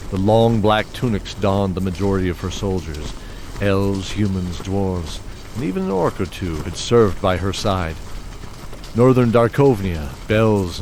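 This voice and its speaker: A man narrating a fantasy audio drama in a measured storytelling manner. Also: fairly low pitch, darkish tone, a little hiss or hum.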